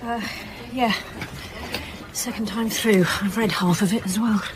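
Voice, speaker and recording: A woman's voice: medium pitch, fairly even intonation, measured pace, close to an everyday voice. An actress playing a wry, thoughtful police detective inspector in naturalistic radio drama dialogue, with faint noise behind her.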